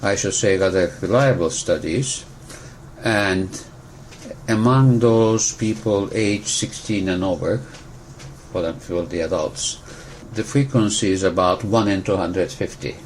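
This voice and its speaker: A man from Turkey, a physician calmly explaining a disease in an interview, precise and pausing to rephrase. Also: sits low, a little hiss or hum, rough recording. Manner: measured, precise, pauses to rephrase. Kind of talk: explanatory medical interview answers.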